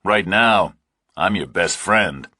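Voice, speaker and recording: Thriller audiobook lead: a man acting a blunt investigator hero, deep, forceful, direct. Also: expressive, slow pace, husky, dry recording, studio quality, exaggerated voice. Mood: commanding. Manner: terse, direct.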